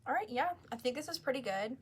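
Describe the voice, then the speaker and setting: A woman's voice: sits high, lively intonation, fast, breathy edge. A woman casually hosting a live craft tutorial, chatting informally as she explains each step. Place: craft store.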